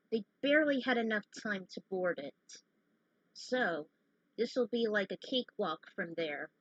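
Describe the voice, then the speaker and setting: A woman's voice: medium pitch, dry recording. A woman narrating her fan fiction in an informal read-aloud style with casual asides. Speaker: fan fiction reader and host. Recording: dull lo-fi close recording.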